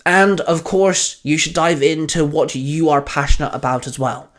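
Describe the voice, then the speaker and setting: A man's voice: fairly high pitch, normal speed, a bit bright. A man speaking as a channel host, addressing listeners in an outro.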